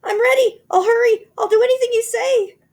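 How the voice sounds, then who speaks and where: A woman's voice: high-pitched, wildly swooping pitch, normal speed, fairly bright tone, voice pushed up, exaggerated voice. A woman voicing a frightened old woman who shrieks in panic. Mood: panicked shrieks.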